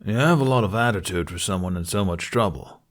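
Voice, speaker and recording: A male narrator puts on a big middle-aged Viking jailer for an audiobook. He is low, calm and contemptuous, with quiet menace in his words. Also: brisk, lightly acted. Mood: cold menace. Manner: deep, calm, threatening.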